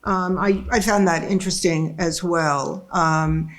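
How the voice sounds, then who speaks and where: A woman chairing a school board meeting, talking in a measured, halting way with many fillers. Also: on the low side, fairly even intonation. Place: meeting room.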